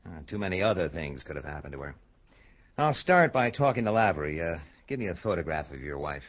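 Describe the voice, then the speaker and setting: An actor playing a hard-boiled, wisecracking American detective, laconic and dry, in dialogue and noir narration for a radio drama. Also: male voice, low-pitched, sing-song, brisk, lo-fi sound. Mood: businesslike.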